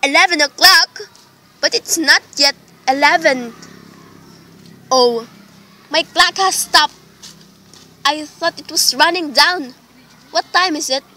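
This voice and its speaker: A woman's voice: sits high, slow pace, pauses often, bright, forceful tone, heavily acted. A woman playing a nervous, demanding invalid wife in a dramatic radio play. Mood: anxious, insistent. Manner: imperious, dramatic, fretful.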